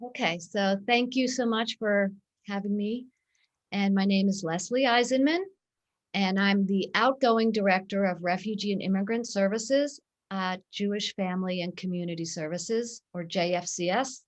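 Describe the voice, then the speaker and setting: Interview guest, female, middle-aged, Pittsburgh accent, earnest and steady, mid-range pitch. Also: normal speed. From Pittsburgh, USA. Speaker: guest, nonprofit director. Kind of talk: interview answers.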